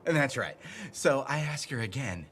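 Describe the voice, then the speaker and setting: A man's voice: mid-range voice, lively intonation, normal speed, fairly bright tone, a little hiss or hum, close to an everyday voice. An actor playing a clueless, over-friendly, shameless man in a video game, rambling casually and sure of himself. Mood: boastful, storytelling. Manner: rambling, casual, self-assured. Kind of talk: video game character dialogue.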